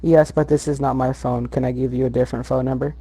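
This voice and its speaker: A man's voice: mid-range voice, little pitch movement, quick pace, dark tone, breathy edge, dry recording. A young man making a casual prank phone call, slangy and feigning earnestness.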